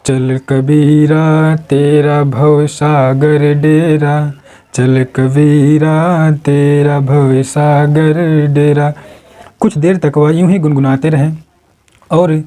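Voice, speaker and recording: A man tells a dramatic story from a script. His voice sits a little high for a male, with a soft, dark and mellow tone that stays rounded. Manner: acts out quoted dialogue within the narration. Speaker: narrator.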